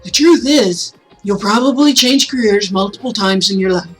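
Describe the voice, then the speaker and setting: A woman's voice: medium pitch, normal speed, soft background music, lightly acted. A woman voicing an affable older male mayor giving a folksy, jokey, rousing speech in a visual novel reading. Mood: earnest, encouraging.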